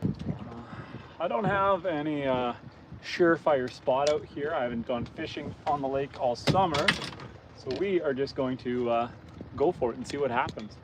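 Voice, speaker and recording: A hoarse man, worn from roughhousing with his kids, chats easygoing and friendly with stream viewers while cruising a lake. His voice sits mid-range and a little scratchy. Wind and the hum of a boat motor come through clearly behind him. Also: mid-range voice, moderate pace. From Canada. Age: adult, father of young kids. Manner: easygoing, chatty, greets viewers by name. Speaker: outdoors channel host. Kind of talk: casual livestream chat while fishing. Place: outdoors on a boat on a rainy lake. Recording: windy outdoor stream with boat noise.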